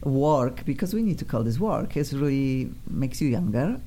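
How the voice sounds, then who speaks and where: A Polish-accented woman hosting a casual studio chat, gushing and chatty. Her voice sits low and mellow but leaps around in pitch. A faint noise runs under the recording. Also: moderate pace. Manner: effusive, chatty, flattering guests. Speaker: radio show host. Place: radio studio.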